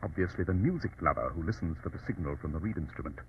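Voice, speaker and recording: An actor playing a cool, commanding English gentleman detective in an old radio drama, speaking in a clipped, composed way. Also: deep voice, expressive, very fast. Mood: tense, commanding. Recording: dull, noisy old radio recording.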